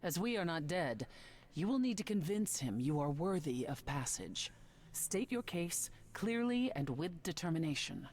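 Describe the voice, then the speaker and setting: A woman's voice: low voice, very animated, moderate pace, darkish tone, a little hiss or hum. An actress voicing a regal goddess and former queen of the underworld in a story game, speaking in a formal, commanding way. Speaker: game character.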